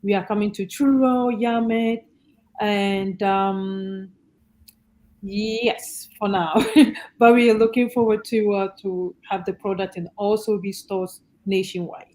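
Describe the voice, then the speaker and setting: A woman with a Ghanaian accent introducing herself conversationally as a panelist, in a halting, thoughtful way. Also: medium pitch, fairly even intonation, mellow. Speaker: panelist, entrepreneur.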